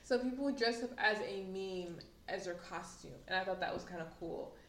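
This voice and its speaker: A woman's voice: medium pitch, moderate pace, slight background noise. A woman in her late twenties co-hosting a podcast, chatting casually and rambling with lots of fillers. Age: late 20s. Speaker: podcast co-host. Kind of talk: casual chat. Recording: echoey room, faint ambience.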